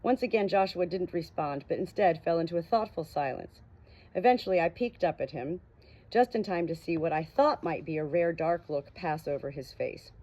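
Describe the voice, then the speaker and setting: A woman's voice: fairly low pitch, moderate pace, mellow. A woman reading a first-person novel aloud as an audiobook narrator. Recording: dull, muffled lo-fi audio.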